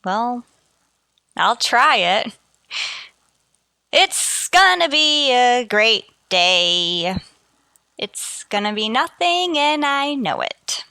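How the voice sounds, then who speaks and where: A woman acts a wry, self-deprecating female teacher in a radio sitcom. Her voice is bright and crisp-edged, swinging widely in pitch as she delivers dry wisecracks. The sound is dry, free of room echo. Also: very animated, drawn-out words, pauses often, heavily acted. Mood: grudging, sardonic. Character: wry, unlucky, sardonic female high school teacher. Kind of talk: scripted radio sitcom acting with first-person narration.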